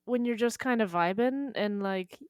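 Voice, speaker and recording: A woman's voice: on the high side, normal speed, clear, resonant tone, no room sound, clean studio sound. Informal woman podcast panelist, thinking aloud. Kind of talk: casual chat, book discussion.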